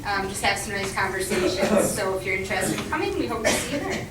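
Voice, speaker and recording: A woman's voice: medium pitch, very animated, quick pace. A woman giving informal announcements at a community meeting, friendly, with frequent ums. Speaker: meeting coordinator. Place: meeting room. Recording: roomy, distant, noisy room recording.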